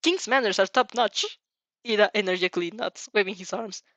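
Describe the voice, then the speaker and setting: A woman narrating fan fiction in an animated, informal way, stumbling now and then as she reads. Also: medium pitch, a little fast, no room sound. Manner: giggly, stumbles over reading.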